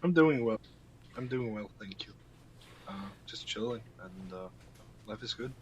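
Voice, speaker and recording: A man's voice: on the low side, animated, a little slow, darkish tone, slight background noise, low-quality recording. An Icelandic man, a native Icelandic speaker, talking as a podcast guest in a casual interview, hesitant, with many fillers and restarts.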